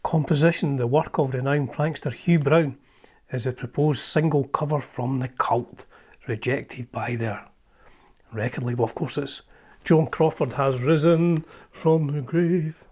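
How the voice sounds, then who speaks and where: A man's voice: medium pitch, unhurried, darkish tone, slightly rough. Chatty Scottish man rambling over old magazines. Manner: rambling and chatty, with frequent ums and restarts. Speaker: host. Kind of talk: casual chat, leafing through an old magazine.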